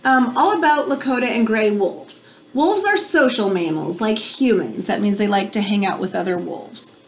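An American woman in her thirties reading a children's nonfiction book aloud at home, pausing to explain things simply like a teacher. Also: medium pitch, expressive, vocal fry. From United States. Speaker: read-aloud host for children. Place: small room at home, indoors.

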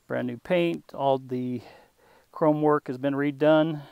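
Man giving a relaxed sales walkthrough. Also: medium pitch, slow, gravelly, clean tone, no echo, muffled recording. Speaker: classic motorcycle dealer.

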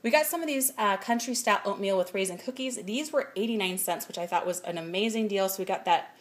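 A woman's voice: mid-range voice, quick pace. A woman in her thirties chatting casually through a grocery haul, filming on her phone indoors at home and reading prices aloud between fillers. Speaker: vlogger. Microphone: phone camera held close.

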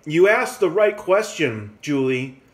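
A man's voice: fairly high pitch, big pitch swings, slow, husky. A man making a casual, friendly phone call, talking emphatically and repeating his phrases. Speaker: caller.

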